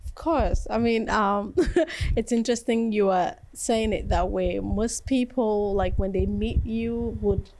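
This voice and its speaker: A middle-aged woman tells her own story as an interview guest. She talks in a chatty, relaxed way and breaks into laughter between phrases. Her voice sits at a middle pitch. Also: a little slow, a little hiss or hum. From Ghana. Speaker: fashion designer guest. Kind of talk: interview answers.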